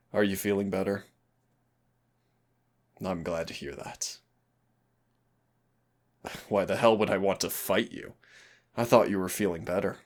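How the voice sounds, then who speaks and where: A man playing a proud, teasing demon of royal blood in an audio roleplay, with a gravelly, husky, intimate and theatrical delivery. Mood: soothing, gently teasing, then playful, taunting. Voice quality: gravelly, husky, breathy low voice. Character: teasing male demon roommate, winged, proud royal, protective and wounded. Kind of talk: audio roleplay acting addressed to the listener.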